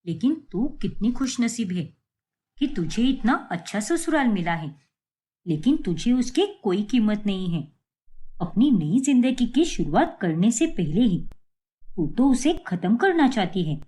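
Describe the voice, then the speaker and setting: A woman's voice: a little high, big pitch swings, unhurried, dark tone. A woman voicing a sensible, concerned young female friend, exasperated and pleading.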